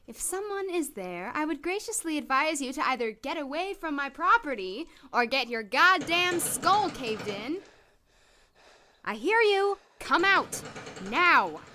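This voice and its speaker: A woman playing an impatient, sardonic young lab employee in an audio drama, expressive and given to muttering. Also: high-pitched, a little hiss or hum, full character voice. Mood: angry, threatening.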